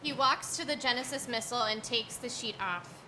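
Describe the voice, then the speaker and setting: A woman's voice: fairly high pitch, brisk. A woman narrating scripted stage directions at a live staged reading. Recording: live stage recording with clear noise.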